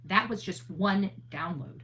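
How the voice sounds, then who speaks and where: A woman's voice: medium pitch, measured pace, fairly bright tone. Emphatic woman streamer over background noise. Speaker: online channel host. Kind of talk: livestream talk, casual monologue with replies to chat.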